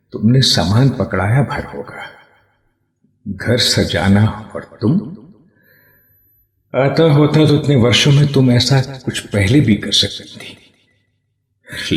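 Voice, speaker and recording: Slow-spoken, poetic doctor husband. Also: male voice, lowish voice, roomy sound. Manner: theatrical, expressive. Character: poetic, wistful doctor husband, privately disappointed in wife. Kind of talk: Hindi radio play acting.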